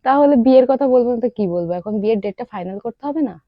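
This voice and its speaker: Young female prank-call host, rapid and teasing casual delivery, dry lo-fi sound. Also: on the high side, lively intonation, no room sound. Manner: teasing, persistent. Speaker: prank caller and vlog host. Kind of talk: casual prank phone chat with asides to viewers.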